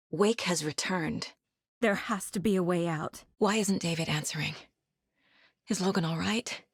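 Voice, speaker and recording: A woman voice actress playing a determined, guarded female investigator in a video game, speaking in a measured, serious, naturalistic way. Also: mid-range voice, breathy edge, no echo, clean studio sound. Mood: anguished, resolute, then hushed, pondering. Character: determined, sharp female FBI investigator, guarded yet driven.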